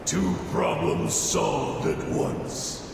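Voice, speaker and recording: A distant, cavernous voice drenched in long reverb, with rumble behind it: a commanding orc god from a fantasy game. He speaks very slowly in an extremely gravelly rasp, swooping widely in pitch with cold scorn. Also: wildly swooping pitch, exaggerated voice. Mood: contemptuous. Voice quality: heavily gravelly, otherworldly. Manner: slow, imperious, deliberate. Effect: huge echoing reverb. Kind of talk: fantasy video game dialogue. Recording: long echoing hall, distant, clear rumble.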